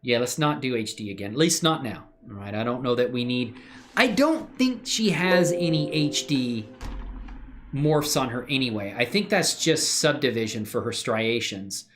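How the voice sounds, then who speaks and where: A man's voice: medium pitch, expressive, normal speed. A man live streaming while he works on 3D models, chatting loosely with viewers in a rambling, self-deprecating way. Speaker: live streamer doing 3D work. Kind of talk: casual live-stream chat while working.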